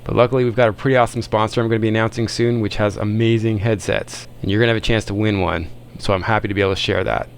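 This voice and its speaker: Low-pitched man narrating a vlog. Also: steady tone, racing, hardly any pauses, slight background noise. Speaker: video host narrating. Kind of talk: casual voice-over narration.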